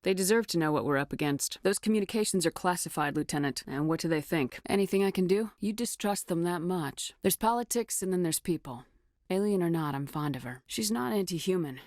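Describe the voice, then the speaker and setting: A woman's voice: fairly low pitch, a little fast, darkish tone, no echo, studio quality, natural voice. A woman playing a confident female military starship commander in a video game, with assured, clipped, dryly teasing authority. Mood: measured, wry. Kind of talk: scripted video game dialogue.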